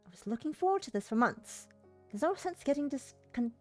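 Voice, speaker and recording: A woman's voice: sits high, big pitch swings, a little fast, soft background music. Woman streamer reading a visual novel aloud. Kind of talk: game playthrough read aloud with casual comments.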